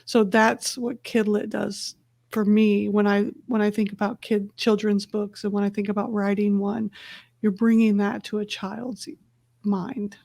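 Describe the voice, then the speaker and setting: A woman's voice: medium pitch, level tone, measured pace, gravelly, a little mellow, no echo. A woman author on a panel, earnestly reflecting on a book that shaped her childhood. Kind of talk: panel discussion answer.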